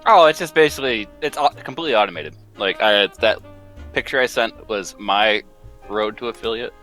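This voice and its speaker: A man's voice: on the low side, brisk, sharp tone, soft background music, low-quality recording. A young man in casual chat, joking and informal. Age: young adult.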